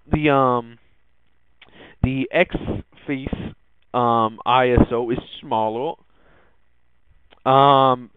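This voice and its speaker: Halting male podcaster, thin phone-like audio. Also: mid-range voice, clean tone, dry recording. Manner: hesitant, halting, frequent ums and false starts. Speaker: podcast host. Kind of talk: casual tech podcast talk.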